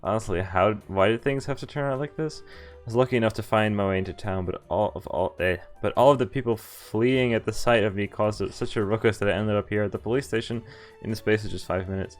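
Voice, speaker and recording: Low-voiced man streaming a game. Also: fast talker. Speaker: streamer playing a visual novel. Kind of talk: casual game stream, reading dialogue aloud with commentary. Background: game music.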